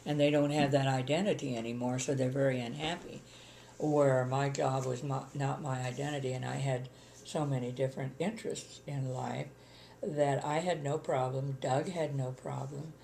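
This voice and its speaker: An older woman with a gravelly voice, chatting plainly about her life in an interview, with many ums. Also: extremely low pitch, steady tone, moderate pace, dark tone, slight background noise. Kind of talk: casual reminiscing chat.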